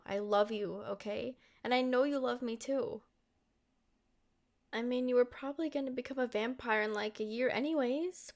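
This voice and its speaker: A woman voicing a centuries-old, doting and possessive vampire girlfriend in an intimate, coaxing ASMR roleplay. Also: on the high side. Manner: coaxing, affectionate, speaking directly to a lover.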